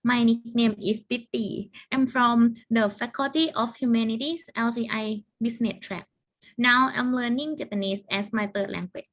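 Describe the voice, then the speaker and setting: Higher-voiced young woman student. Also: fairly even intonation, a bit bright. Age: young adult. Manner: earnest, slightly halting, with "like" fillers. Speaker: university student. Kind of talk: mock job interview answers.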